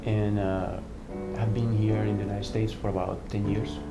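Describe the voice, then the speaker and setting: A man from Venezuela with a Venezuelan accent, speaking English in an earnest, halting way with many restarts while he tells his story. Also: lowish voice, level tone, vocal fry. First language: Spanish. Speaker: artistic director of a dance company. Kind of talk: personal testimony interview. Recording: roomy sound with music under it.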